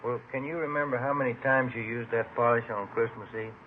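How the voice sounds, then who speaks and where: An actor playing a steady, polite police detective who questions plainly in an old radio crime drama. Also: male voice, mid-range voice, fairly quick, a little hiss or hum, natural voice. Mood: patient, probing. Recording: tinny vintage radio recording.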